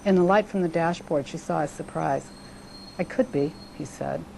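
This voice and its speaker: A woman reads her fiction aloud, switching between narration and spoken dialogue. Her voice sits low and has a heavy creak. Faint noise and insect-like ambience run behind her. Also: normal speed, a little mellow, low-quality recording. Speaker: writer reading own work. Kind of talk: literary prose reading with acted dialogue.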